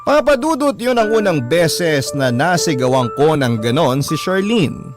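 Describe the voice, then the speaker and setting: A man playing a remorseful, usually calm Filipino husband, telling his story in an earnest, confessional radio-drama narration. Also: fairly high pitch, a little slow, music in the background. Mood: regretful, reflective.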